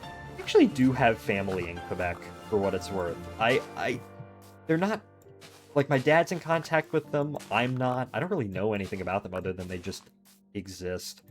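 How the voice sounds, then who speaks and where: Low-voiced American man musing aloud. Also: moderate pace, soft background music. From United States. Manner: musing, thinks aloud in long digressions. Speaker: streamer. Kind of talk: casual chat while gaming.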